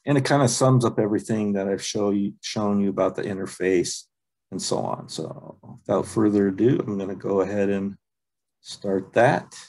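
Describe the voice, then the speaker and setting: A man presenting a software training webinar over a video call, relaxed and halting, with many ums and uhs. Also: on the low side. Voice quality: plain, unexciting voice. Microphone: computer mic on a video call.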